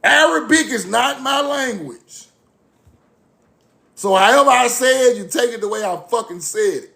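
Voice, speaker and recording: A man's voice: very high voice, lively intonation, normal speed, vocal fry, sharp tone. A man giving a fiery informal lecture, blunt, repetitive and profane.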